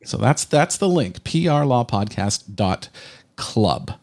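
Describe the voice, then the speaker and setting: A man's voice: medium pitch, very animated, takes it slowly, darkish tone. A man co-hosting a podcast in a casual, conversational style. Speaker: podcast co-host.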